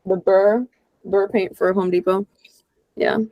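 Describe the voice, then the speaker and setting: A young adult woman from Honduras chatting casually as an interview guest, informal and expressive, peppering her stories with "like". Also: mid-range voice, unhurried, no room sound, lo-fi sound. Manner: informal and expressive, peppered with "like", acts out quoted lines. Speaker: guest, real estate investor and entrepreneur.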